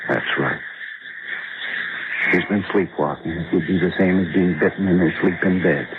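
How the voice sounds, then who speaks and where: An actor playing a grave, knowing doctor at a jungle outpost in an old radio horror drama, explaining in a measured, serious way. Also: male voice, low voice, natural voice. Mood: somber, uneasy. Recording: noisy, muffled old radio drama recording.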